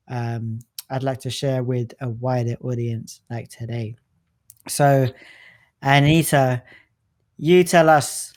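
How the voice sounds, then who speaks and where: A man's voice: mid-range voice, a little mellow. A man hosting a casual podcast interview, chatty and enthusiastic with lots of fillers. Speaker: podcast host.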